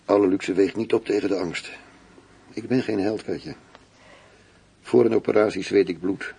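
An actor playing a worldly, self-assured German undercover police chief, teasing and intimate in a radio play. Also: male voice, on the low side, steady tone, moderate pace, creaky, mellow, breathy edge, exaggerated voice. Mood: sober, weary.

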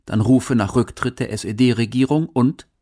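A man's voice: mid-range voice, measured pace, mellow. A man reading scripted documentary narration as a radio narrator.

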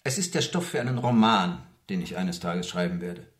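A man's voice: sits low, expressive, moderate pace. An actor playing a ruined, self-important writer in his forties, brooding and evasive, in a radio drama. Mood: resigned. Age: 40s. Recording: roomy echo, background noise.

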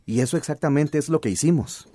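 Male actor, grown son, rural radio drama, dark mellow voice, reflective, determined. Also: on the high side, fast, lightly acted. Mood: content.

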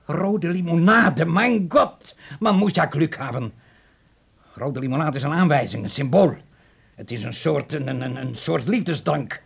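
Stern, indignant middle-aged father for radio play; highish pitch, husky. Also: animated, moderate pace, heavily acted. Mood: grave indignation. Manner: scolding, indignant, sprinkles in German. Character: stern, agitated German father and anxious host.

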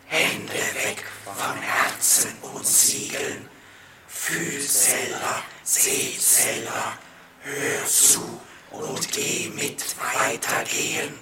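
An actor in a German radio play voicing an uncanny being that chants urgent slogans and warnings, insistent and declamatory. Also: male voice, medium pitch, extremely slow, long pauses, exaggerated voice. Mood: urgent, chanting. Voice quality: raspy, sharp, forceful.

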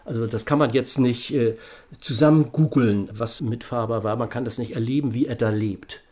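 Halting, insistent man with mid-range voice. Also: mid-range voice, a little mellow. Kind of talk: spontaneous panel discussion.

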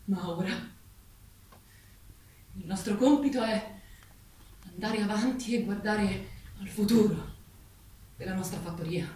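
A woman's voice: medium pitch, dark tone, natural voice. An actress playing a female farm animal who leads a revolution, declaiming solemnly like a manipulative preacher in a stage play. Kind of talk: theatrical stage monologue, political oratory. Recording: echoey stage, distant mic, faint noise.

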